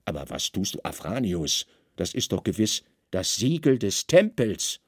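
A man playing a weary, brooding Roman governor with a sharp, commanding mind, in a literary radio drama. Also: on the low side, sing-song, unhurried, husky, no echo, studio quality, heavily acted. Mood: wry, curious.

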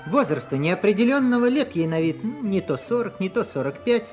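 A man's voice: high-pitched, sing-song, brisk, a little mellow, highs cut off, soft background music. Wry, ironic radio narrator man. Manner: ironic, dry quips.

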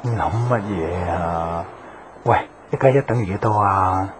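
An actor in a Hong Kong Cantonese radio drama playing a caring, patient and wistful young suitor. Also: male voice, low voice, measured pace, very noisy. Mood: concerned, coaxing. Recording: music behind the voice. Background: music.

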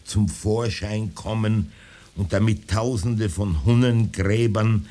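An old man delivering a radio-play monologue in a dark, mellow, fairly low voice. He unspools long sentences very slowly and evenly measured, treating his absurd apocalyptic visions as dry matter of fact. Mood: musing, detached.

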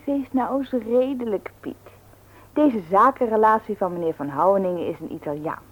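An actress playing a strong-willed, self-justifying wife in a radio play, insistent and exasperated. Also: female voice, medium pitch, moderate pace, close to an everyday voice. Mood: indignant. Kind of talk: Dutch radio play acting. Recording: thin phone-like old radio recording.

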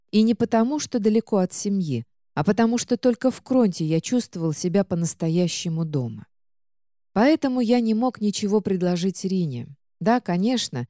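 A woman narrates a novel in a scripted audiobook style. Her voice sits fairly low, with a very soft, mellow tone. The recording is dry and close, with very little room sound. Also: no room sound. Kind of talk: scripted first-person fiction narration.

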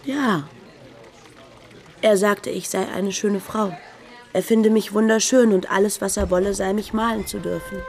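A woman playing a middle-aged café owner reminiscing in an unhurried way in a German radio drama. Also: mid-range voice, steady tone, a little mellow, lightly acted.

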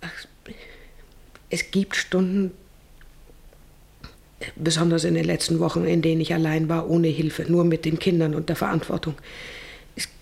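A woman's voice: low-pitched, level tone, moderate pace, vocal fry, long pauses, a little mellow. An actress playing an anxious, careworn middle-aged farmer's wife and mother, speaking hesitantly and earnestly in a German radio play. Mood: anguished, hesitant.

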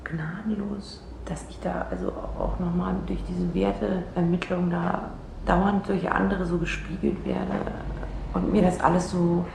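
An unsettled young woman in a radio drama. Her voice is slightly low, soft-toned and full of fry, and she hesitates and restarts as if unsure of herself. It is recorded in a roomy space. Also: expressive, a little slow, exaggerated voice. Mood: unsure, hesitant. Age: young adult. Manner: hesitant, self-interrupting. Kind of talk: naturalistic radio drama dialogue. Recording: roomy sound with faint noise.

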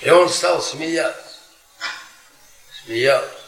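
A worn-down, sardonic professor in a radio play. His voice is fairly high and bright, with a deliberate, theatrical storyteller's delivery. It sounds roomy and set back from the microphone. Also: creaky, heavily acted. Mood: grave, haunted. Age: middle-aged. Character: bitter, worn-down middle-aged history professor, sardonic storyteller. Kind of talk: Soviet radio theatre acting, dramatic monologue. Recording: roomy stage-like echo, distant from microphone.

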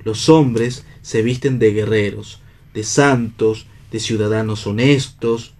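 An actor in a radio play voicing an idealistic worker-philosopher, declamatory and sententious. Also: male voice, on the low side, fairly even intonation, takes it slowly, darkish tone. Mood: preaching, resolute. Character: idealistic man in worker's clothes, preachy philosopher. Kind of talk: read radio theatre.

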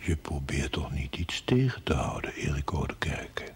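A man playing a grave, knowing confidant in a 1970s television drama, with a breathy voice and weighty, deliberate delivery. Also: big pitch swings, slight background noise. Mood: probing. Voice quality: breathy, very deep. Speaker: actor.

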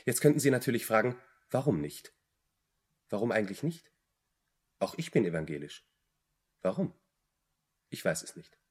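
A man's voice: fairly low pitch, sing-song, normal speed, long pauses, husky. An actor playing an idle, contrary young man, brooding and self-important, in a German radio play. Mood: earnest, probing. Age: young adult. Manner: pompous, argumentative.

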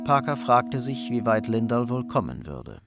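Measured male thriller narrator over music. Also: medium pitch, sing-song, creaky. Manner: measured, suspenseful storytelling. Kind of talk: scripted narration of a crime radio play.

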